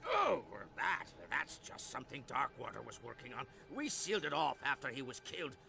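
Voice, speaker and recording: A man playing a crotchety, knowing old islander in a video game, with a creaky voice and a theatrical, folksy storytelling manner. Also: medium pitch, very animated, moderate pace, bright, forceful tone, exaggerated voice. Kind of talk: video game cutscene acting.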